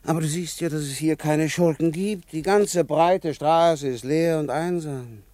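A man's voice: on the high side, a little slow, mellow, dry recording, close to an everyday voice. A man playing a good-humoured, indulgent policeman in a children's radio play, teasing with mock-solemn irony. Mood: ironic, indulgent.

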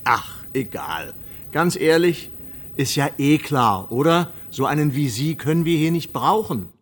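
A man's voice: fairly high pitch, expressive, unhurried, a little hiss or hum. An actor playing a condescending, dismissive man in authority in a radio play, with a mock-polite, patronizing manner. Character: condescending male doctor or examiner, brusquely dismissive. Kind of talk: scripted radio play acting.

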